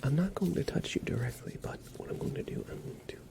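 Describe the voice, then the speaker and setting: A man speaks mostly in a soft, breathy whisper with noticeable fry. He plays a doctor in an ASMR exam roleplay, giving gentle, reassuring instructions in a soothing way. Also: a little high, lively intonation, quick pace. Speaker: ASMR performer playing a doctor.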